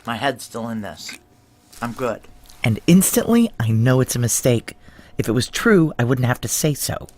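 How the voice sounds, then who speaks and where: An actress playing a tough, guarded ex-military security chief, blunt and wry, in a noir science fiction audio drama. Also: female voice, very deep voice, expressive, normal speed, darkish tone. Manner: blunt, clipped, profane, wry.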